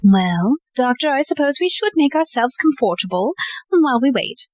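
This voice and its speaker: A woman's voice: high-pitched, sing-song, moderate pace, noisy background. An actress playing a wry, genteel society lady in an audio play, with an arch, theatrical delivery. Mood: poised, protective.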